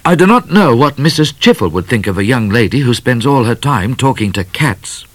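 A man's voice: mid-range voice, big pitch swings, normal speed, fairly bright tone, dry recording, voice pushed up. A man reading aloud, voicing a proud, sulky seventeen-year-old in a storybook. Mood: stern, sulky. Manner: stern, indignant.

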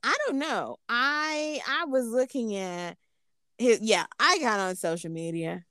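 A woman's voice: a little high, measured pace, clear, resonant tone, no room sound, studio quality. A woman in casual conversation, animatedly retelling a personal story and acting out what she said. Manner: animated, quotes herself in raised voice, frequent restarts.

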